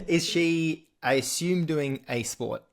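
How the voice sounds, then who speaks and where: A young Australian man hosting a casual sports interview, talking in a relaxed, chatty way with lots of fillers and restarts. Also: a little high, takes it slowly. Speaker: podcast host.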